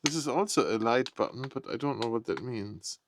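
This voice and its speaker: A man with a medium-pitched voice talks through a product unboxing. His delivery is casual and unscripted. He thinks out loud in short, fragmented remarks, often tentative as he works things out. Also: animated, a little fast, noisy background.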